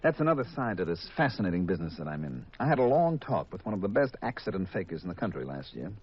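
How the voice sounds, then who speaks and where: An American actor playing a coolly menacing, hard-boiled killer in a deliberate, mock-polite manner in a 1950s radio mystery. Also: male voice, low-pitched, sing-song, slightly rough, full character voice. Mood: cold gloating. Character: cold, confessing killer, male insurance man, coolly menacing. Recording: muffled, dull 1950s radio recording.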